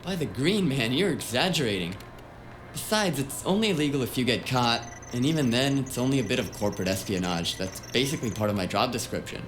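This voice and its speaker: An actor playing a reckless, breezy cyberpunk hacker sidekick in an audio drama, flippant and evasive in manner. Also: male voice, mid-range voice, fairly quick, a little hiss or hum, close to an everyday voice. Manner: flippant, animated, hedging. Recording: music behind the voice.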